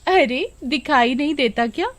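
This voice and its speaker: A woman voicing a bossy, mocking village girl who talks in taunting exclamations in an animated story. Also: high-pitched, moderate pace, voice pushed up.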